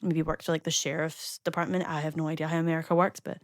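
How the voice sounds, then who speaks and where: A woman's voice: sits low, little pitch movement, dry recording. Chatty Scottish woman, dry close sound. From Scotland. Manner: chatty and rambling, many fillers and quick asides. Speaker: YouTuber narrating a game build. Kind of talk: casual chatty voice-over.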